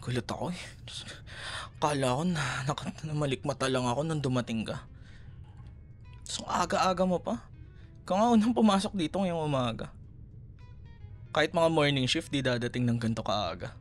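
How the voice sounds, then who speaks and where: Casting: male actor as chatty Filipino barista ex-boyfriend, playful, fairly high voice, music underneath. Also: normal speed, pauses often, lightly acted. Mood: surprised, curious. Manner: chatty, playful, casual conversational. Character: chatty, teasing male café worker meeting an ex. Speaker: voice actor in a roleplay audio. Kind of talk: ASMR boyfriend roleplay, naturalistic acting.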